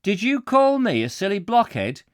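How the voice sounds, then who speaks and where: A man voicing a plain-spoken older Yorkshire warehouseman in dialect, deliberate and forceful, while reading from a book. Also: fairly high pitch, lively intonation, no echo, studio quality, pitched above the performer's own voice. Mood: indignant, emphatic. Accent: Yorkshire, put on. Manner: deliberate, aggressive, emphatic dialect delivery. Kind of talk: acted dialogue in a book reading.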